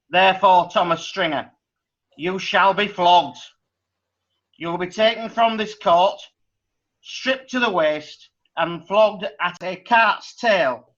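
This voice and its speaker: An actor playing a stern magistrate with a pompous manner. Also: male voice, high voice, sharp tone, muffled recording, exaggerated voice. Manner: pompous, pronouncing sentence. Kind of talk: amateur audio drama acting.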